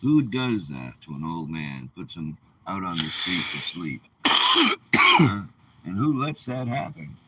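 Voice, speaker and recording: Male, seventies, lowish forceful voice; indignant, emphatic vlogger airing grievances to camera. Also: very animated, takes it slowly, a little hiss or hum. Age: 70s. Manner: indignant, emphatic, rhetorical questions. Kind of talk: aggrieved personal vlog monologue.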